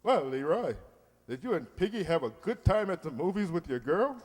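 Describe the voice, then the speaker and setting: A man's voice: fairly high pitch, very animated, moderate pace, a little mellow, big-room sound. A man playing a pompous, bashful, flustered middle-aged suitor in old-time radio comedy style, blustery and hesitant. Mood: eager.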